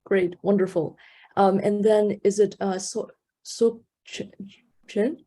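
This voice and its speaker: Woman in her 30s hosting an online panel, warm mellow tone, lots of ums. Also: mid-range voice, steady tone, takes it slowly. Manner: hesitant, many fillers. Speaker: panel moderator.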